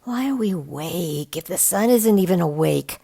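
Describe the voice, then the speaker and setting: A woman's voice: fairly low pitch, animated, normal speed, gravelly, dark tone, husky, full character voice. A woman voicing questioning, incredulous kid adventurers in a storybook reading.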